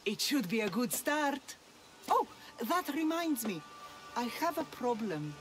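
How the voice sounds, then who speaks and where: An actress playing a young, self-doubting blacksmith's daughter in a video game, wry and hesitant. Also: female voice, on the high side, big pitch swings, measured pace, loud music behind the voice. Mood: warming, hopeful. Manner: wry, hesitant, grumbling, sighing. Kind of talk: video game cutscene acting.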